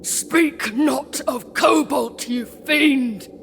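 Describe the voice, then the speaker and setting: An actress playing a righteous, defiant warrior commander in a video game cutscene, solemn and declamatory. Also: female voice, sits high, very slow, gravelly, in a large hall, slight background noise, exaggerated voice. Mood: angry.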